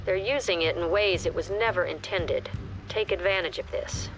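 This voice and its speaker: Authoritative female commander for game briefings, level and crisp, music bed — actress. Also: on the low side, moderate pace, natural voice, poor audio. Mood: commanding. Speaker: mission commander giving briefings. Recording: game audio with clear music behind.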